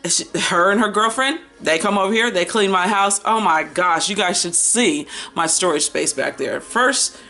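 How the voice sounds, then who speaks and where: An American woman in her fifties talking casually as a book vlogger, chatty and animated, wandering off on tangents and drawing out words for emphasis. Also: mid-range voice, normal speed, hardly any pauses, faint music behind. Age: 50s. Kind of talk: casual rambling chat to camera.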